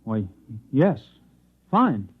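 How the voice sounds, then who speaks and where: An actor playing a meek, bewildered man with a halting, earnest manner in a 1950s radio drama. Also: medium pitch, sing-song, breathy edge, phone-quality sound, heavily acted. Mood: flustered. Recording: phone-thin old radio broadcast.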